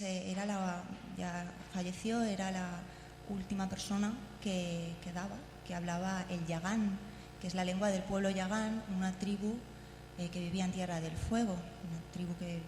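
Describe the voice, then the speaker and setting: Woman presenting informally, mid-pitched voice, very echoey hall, noisy hum. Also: fairly even intonation, moderate pace. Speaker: speaker presenting an audio drama. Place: conference hall. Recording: echoey hall with humming noise.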